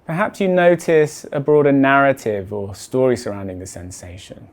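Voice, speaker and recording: A man guides a body scan meditation at a mid-range pitch. His delivery is measured and deliberate, and his phrases settle softly at the ends.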